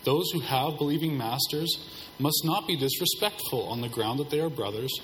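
Hoarse male pastor preaching in a hall. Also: mid-range voice, a bit bright. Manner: measured, subdued delivery to save his voice. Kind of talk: sermon. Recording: hall reverb, faint background noise.

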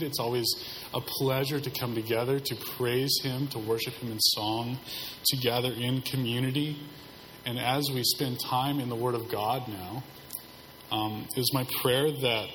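A hoarse man delivers a sermon as pastor in a measured, subdued way, sparing his strained voice. His voice sits at a middling pitch and carries a long reverb from the hall, with faint noise behind him. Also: a bit bright.

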